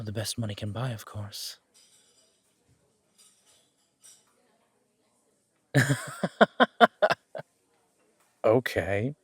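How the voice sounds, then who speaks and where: A man playing an earnest, protective, wry mafia boss on a first date in a romantic audio roleplay, speaking in a measured, intimate way. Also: on the low side, breathy edge, no echo. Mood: amused, teasing.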